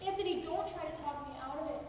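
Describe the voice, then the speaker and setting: Woman doing a jumpy scared wife on stage, kinda high, sounds far off in a big hall. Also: fast, noisy background, close to an everyday voice. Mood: anxious. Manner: pleading, fearful. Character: anxious young wife, nervous and uneasy. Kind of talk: amateur stage acting.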